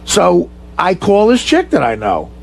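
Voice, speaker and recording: A man telling bawdy stories as a radio guest in a casual, halting, profane and joking way. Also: sits high, wildly swooping pitch, vocal fry.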